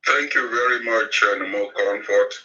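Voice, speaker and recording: Older cameroonian man, very bright tone, distant dull call audio, hesitant. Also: on the low side. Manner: slow, halting with many uh fillers. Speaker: guest. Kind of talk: remote interview.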